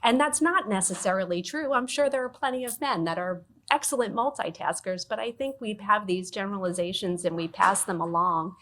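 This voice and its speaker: Woman panelist, team leader; measured, reflective, mid-range voice. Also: medium pitch, a bit bright. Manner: thoughtful, restarts often, coaching tone. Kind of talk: panel discussion.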